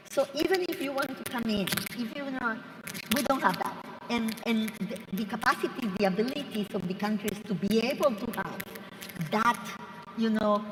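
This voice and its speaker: A woman from Rica, speaking emphatically and off the cuff as a conference panelist. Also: on the high side. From Costa Rica. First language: Spanish. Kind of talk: impromptu panel discussion. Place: large conference hall. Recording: distant mic in echoing hall.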